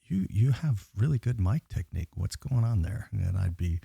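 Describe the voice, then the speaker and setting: A man guesting on a bar podcast, telling rambling stories with a naturally low voice and many fillers. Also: expressive, normal speed, vocal fry, warm tone, breathy edge, dry recording. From Pacific Northwest US. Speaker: guest singer. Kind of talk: casual podcast chat.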